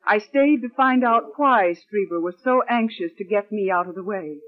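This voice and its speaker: A woman's voice: mid-range voice, normal speed, natural voice. An actress playing a principled, wary female assistant in a 1940s radio drama, speaking formally and earnestly. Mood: aggrieved. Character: principled, wary young woman, a loyal assistant and narrator. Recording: muffled, band-limited old radio recording.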